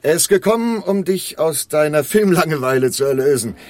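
An actor in a radio crime drama playing a brash, fast-talking male Hollywood wheeler-dealer with a pushy salesman's patter. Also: on the high side, animated, darkish tone, lightly acted. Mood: pitching eagerly.

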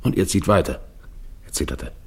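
An actor playing an anguished, guilt-ridden, dying polar expedition captain in a German radio play, with halting, brooding delivery. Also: male voice, rumbling low, expressive, a little mellow, breathy edge, full character voice. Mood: grieving, weary.

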